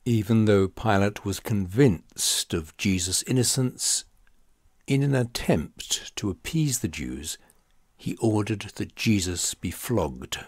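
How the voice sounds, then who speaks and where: Male audiobook narrator for scripture: low register, deliberate and dramatic delivery, dry close sound. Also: lively intonation, no echo. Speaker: audiobook reader.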